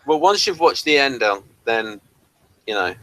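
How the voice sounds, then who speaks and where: A man's voice: medium pitch, sing-song, unhurried, bright, forceful tone, rough recording. A man co-hosting a review show, chatting casually and hesitantly about audio drama as he meanders through his points.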